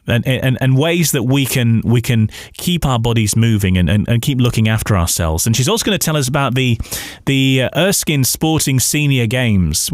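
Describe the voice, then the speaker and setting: A man hosts a chatty radio interview in a dry, close recording. His pitch is average for a man. He speaks a little haltingly, doubling words as he goes. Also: no room sound.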